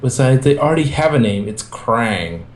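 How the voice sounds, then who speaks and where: A man plays a goofy, excitable teenage turtle ninja. The pitch jumps up and swings about, in an amateur story-reading style. The room echoes around the voice. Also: moderate pace, a little hiss or hum, natural voice. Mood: playful, insistent. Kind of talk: amateur fan fiction reading.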